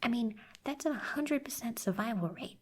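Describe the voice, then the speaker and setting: Woman playing a breathy, teasing young cactus girl. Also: on the high side, lively intonation, normal speed. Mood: reassuring. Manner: teasing, blunt, then gently encouraging. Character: teasing, secretly caring young cactus girl, genki tsundere. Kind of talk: ASMR character roleplay.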